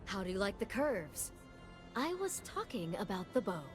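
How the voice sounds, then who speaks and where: A woman's voice: medium pitch, big pitch swings, moderate pace, close to an everyday voice. An actress playing a noble young warrior queen and archer in a video game, an earnest leader with dry wit. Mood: wry, joking. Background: music.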